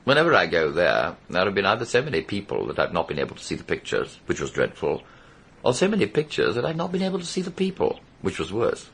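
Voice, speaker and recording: An actor playing a witty, cynical middle-aged aristocrat with an upper-class English accent, arch and theatrical in a radio drama. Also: male voice, deep voice, wildly swooping pitch, fast talker, vocal fry, a bit bright, husky, exaggerated voice. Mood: amused disdain. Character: witty, cynical English aristocrat, worldly and languid, recalling the past. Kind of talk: radio drama acting and first-person narration.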